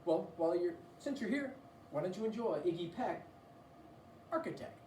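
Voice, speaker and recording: Expressive storybook reader, a lowish-voiced woman. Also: normal speed. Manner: playful, expressive, with asides to the listener. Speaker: read-aloud storybook reader. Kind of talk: theatrical children's story reading. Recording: roomy, distant mic, faint noise.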